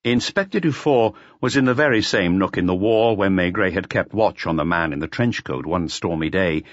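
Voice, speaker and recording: A man's voice: sits low, normal speed, no echo, highs cut off. A man narrating an audiobook in a scripted, dramatic storytelling style.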